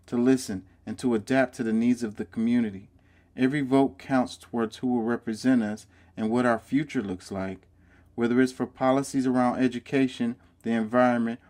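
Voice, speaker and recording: A man hosting, reading an earnest civic voice-over and chatting casually with his audience, saying "y'all". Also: mid-range voice. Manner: earnest and measured in narration, loud and chatty when live. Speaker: host. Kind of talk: scripted voice-over narration and casual live talk.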